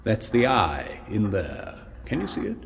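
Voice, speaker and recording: A man's voice: lowish voice, wildly swooping pitch, a little slow, vocal fry, big-room sound, noisy background, full character voice. An actor playing an apprehensive doctor in a 1970s radio drama, soothing and clinical as he explains, with some hesitation. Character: apprehensive male doctor, clinical yet solicitous experimenter.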